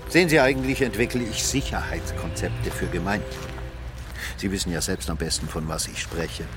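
An actor playing a coolly calculating male security consultant with a measured, persuasive manner in a radio drama. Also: low voice, music in the background, lightly acted. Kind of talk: radio drama narration and dialogue.

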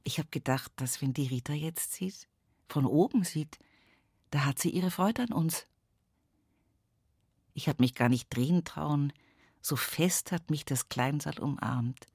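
A woman's voice: low-pitched, unhurried, long pauses, a little mellow, husky, no echo, studio quality, heavily acted. An actress playing an older, pious, plain-spoken village woman with an Upper Palatinate Bavarian accent, reminiscing in a folksy radio play monologue. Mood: tender, aching. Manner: folksy, earthy dialect storytelling with emphatic repetitions.

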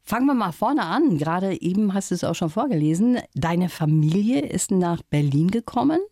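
A woman's voice: lowish voice, big pitch swings, moderate pace, dark tone, no room sound, studio quality. A woman host interviewing a guest in a chatty, curious way, laughing along. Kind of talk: casual interview talk.

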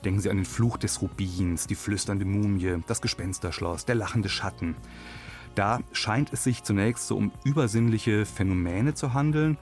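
A man with a slightly low voice gives measured commentary for radio. He explains things analytically, with faint music underneath. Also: darkish tone.

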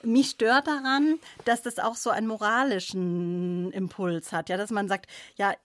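A woman's voice: mid-range voice, steady tone, a little slow, dry recording. A woman co-hosting a discussion, opinionated and questioning, often ending points with a checking "ja?". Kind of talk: discussion talk.

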